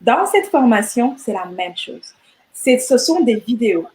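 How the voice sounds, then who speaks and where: A woman's voice: on the high side, very animated, a little slow, a little mellow. A middle-aged woman from Rwanda, chatting animatedly as an interview guest, often repeating words as she restarts her sentences. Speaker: guest entrepreneur. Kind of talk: casual interview chat.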